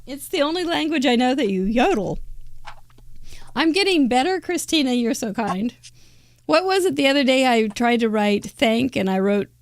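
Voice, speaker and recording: A woman's voice: high-pitched, expressive, normal speed. A woman hosting a live crafting show, chatting playfully and laughing often. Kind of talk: casual live-stream chat.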